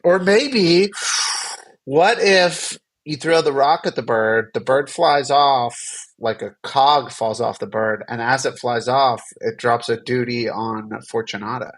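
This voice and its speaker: Guy hosting a stream, just chatting, playful and teasing, average pitched voice. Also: a little slow. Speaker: livestream host. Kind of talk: casual livestream chat.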